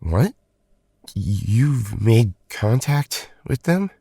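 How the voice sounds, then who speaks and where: A man's voice: medium pitch, very animated, drawn-out words, vocal fry, dark tone, slightly rough, no room sound, full character voice. A man playing a sheepish, hesitant young man in an audio drama. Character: sheepish young man caught sneaking home.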